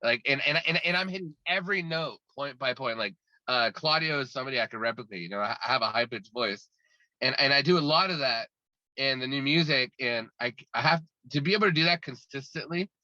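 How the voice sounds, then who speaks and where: Bright-voiced, talkative man on a dull, dry line. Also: medium pitch, a little fast, no room sound. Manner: talkative and rambling, many restarts. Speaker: guest musician. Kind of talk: casual interview chat.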